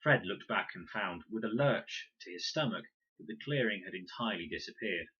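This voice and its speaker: A man with a fairly low, husky voice narrates a children's story expressively. His delivery is lively and dramatic, on a slightly dull recording. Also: normal speed. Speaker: reader.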